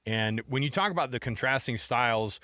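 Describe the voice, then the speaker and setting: Bright-voiced man hosting casual sports radio. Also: mid-range voice, expressive, brisk, no room sound, clean studio sound. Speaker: sports radio show host.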